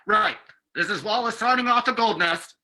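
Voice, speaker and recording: An actor playing a terse field informant reporting by shortwave in an old-time radio drama, clipped and urgent with a rough, husky voice. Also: male voice, high-pitched, normal speed, pushed and bright.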